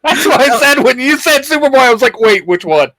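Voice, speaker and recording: A man co-hosting a geek show in casual fan chat, enthusiastic and halting, often repeating his words. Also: extremely high pitch, lively intonation, sharp tone, dry recording. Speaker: co-host of a geek culture show.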